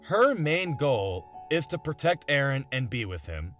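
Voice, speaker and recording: A man's voice: mid-range voice, very animated, measured pace, a bit bright. A young man narrating a scripted video essay in an earnest, emphatic, conversational way. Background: background music.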